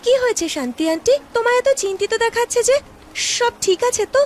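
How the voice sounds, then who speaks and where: A woman voicing a very high-pitched, eager young fritter seller. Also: lively intonation, brisk, a little hiss or hum. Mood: worried. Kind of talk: cartoon story character voice. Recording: music behind the voice.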